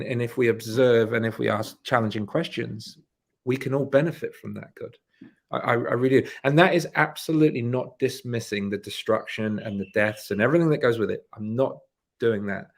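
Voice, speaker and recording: A man's voice: mid-range voice, vocal fry, darkish tone. A man speaking as a podcast guest and leadership coach in a casual conversation, earnest and emphatic, often restarting his sentences.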